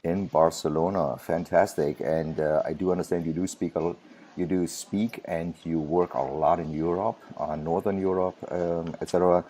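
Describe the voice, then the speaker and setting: A man's voice: low-pitched, steady tone, normal speed, warm tone, a little hiss or hum. A man hosting an interview, asking long, hesitant questions and often repeating words. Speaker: podcast host, interviewer. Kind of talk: conversational interview.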